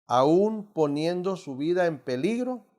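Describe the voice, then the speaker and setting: A man's voice: on the high side, animated, unhurried, warm tone. A middle-aged man from Mexico with a Mexican accent, teaching an adult Bible study in an emphatic, preacherly way, constantly addressing the listeners as brothers. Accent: Mexican Spanish.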